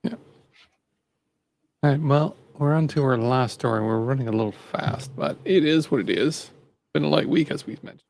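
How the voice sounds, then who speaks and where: A man's voice: medium pitch, normal speed, creaky, long pauses, darkish tone, slight background noise. A man hosting a casual podcast, laid-back and rambling as he introduces stories. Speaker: host.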